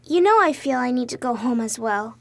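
A woman's voice: fairly high pitch, lively intonation, fairly quick, vocal fry. A woman playing an earnest, kind young farm girl in a radio drama, speaking politely. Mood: grateful, fond. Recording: noisy radio drama recording.

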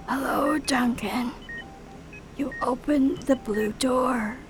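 A woman playing an older, dying half-robot dean in a radio drama, weary and breathy, speaking in halting whispers. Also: high-pitched, fairly even intonation. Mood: eerie, weary. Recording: clear background noise.

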